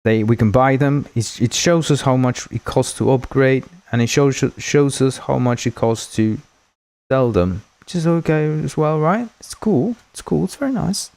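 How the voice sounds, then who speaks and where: A man's voice: mid-range voice, normal speed, darkish tone, dry recording. A man whose first language is Spanish, hosting a game development livestream in a rambling, jokey way and thanking viewers often. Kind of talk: casual livestream chat.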